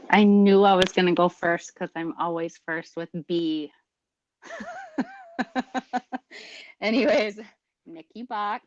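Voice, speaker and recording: A woman introducing herself as a new school board member, talking in a rambling, halting, earnest way. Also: medium pitch, very animated, no room sound, dull audio. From Prairie Island Indian community, Minnesota. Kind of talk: informal self-introduction at a meeting.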